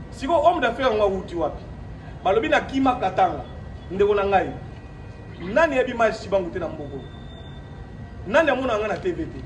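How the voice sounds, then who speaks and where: Congolese guy w high voice doing a defiant press statement, very emphatic, roomy far-off mic, noisy. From Democratic Republic of the Congo. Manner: defiant, emphatic, repeats phrases and asks rhetorical questions. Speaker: speaker at his own press conference. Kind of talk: press statement mixing French and Lingala, partly improvised. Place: echoey room at his own premises. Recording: roomy, distant microphone, noisy background.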